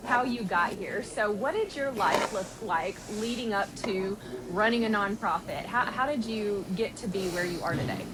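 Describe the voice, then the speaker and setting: An upbeat woman with a light Southern accent hosts a podcast. Her voice is mid-pitched, with clear steady noise behind it. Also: normal speed, few pauses. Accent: Southern American. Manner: upbeat, laughs easily. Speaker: podcast host. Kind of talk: podcast interview.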